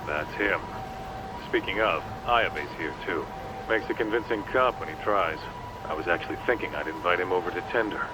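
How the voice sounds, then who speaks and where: A man acting as a streetwise buddy on a game phone call. His voice is low and his talk laid-back and slangy. Clear outdoor traffic noise surrounds it. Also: moderate pace, lightly acted. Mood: casual, conspiratorial. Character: casual, streetwise male buddy phoning from the street. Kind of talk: video game cutscene acting. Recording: noisy outdoor street ambience.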